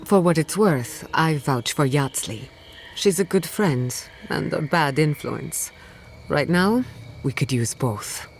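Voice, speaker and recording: A woman's voice: low voice, animated, slow pace, faint music behind, heavily acted. A woman playing a blunt, hot-tempered companion in a fantasy adventure game, with a direct way of talking. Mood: frank. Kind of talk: scripted fantasy game dialogue.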